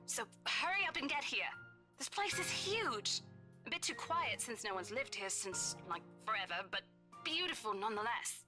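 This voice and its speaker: A woman's voice: on the high side, very animated, normal speed. A woman voicing a sassy, impatient young real estate agent in a game, teasing and chatty, with music behind her. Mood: chatty, wistful. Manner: teasing, chatty, dismissive of spooky rumors. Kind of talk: visual novel game voice acting.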